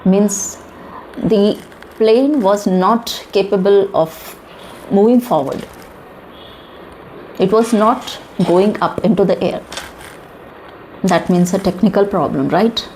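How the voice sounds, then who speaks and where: A woman with an Indian accent giving informal news commentary in halting, emphatic short phrases, often posing rhetorical questions. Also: medium pitch, a little mellow, low-quality recording. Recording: clear background noise.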